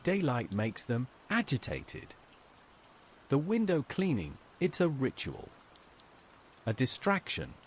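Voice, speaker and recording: A man's voice: medium pitch, wildly swooping pitch, slow, pauses often, a little hiss or hum. A man voicing a tired, stern older diner waitress in a horror story, giving grave, cryptic instructions. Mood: grave warning.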